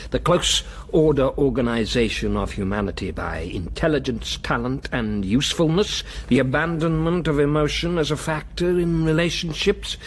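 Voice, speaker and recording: A man's voice: a little high, natural voice. Coldly menacing man in authority played by an actor. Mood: cold, condescending. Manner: measured, condescending, imperious. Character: coldly menacing powerful male world controller. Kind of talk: radio drama acting.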